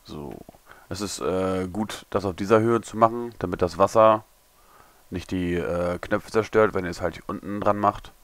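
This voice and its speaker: A young man, a little hungover and hoarse, mumbling and thinking aloud as he builds in a game tutorial. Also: low voice, moderate pace. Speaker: gaming tutorial creator. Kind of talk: casual commentary while building.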